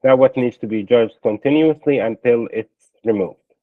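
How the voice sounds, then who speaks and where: Palestinian guy being interviewed, explains earnestly w lots of ums, thin narrow call sound, no room echo. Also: medium pitch. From Palestine or its diaspora. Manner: earnest and explanatory, hesitant with frequent filler pauses. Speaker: interview guest. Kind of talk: conversational live panel interview.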